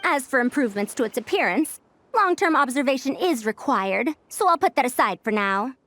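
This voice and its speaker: A woman's voice: high-pitched, animated, brisk, fairly bright tone, slight background noise. A woman voicing a proud, prickly scholar with a youthful look and an old-fashioned, pompous lecturing manner in an anime game dub. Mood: brisk, fond.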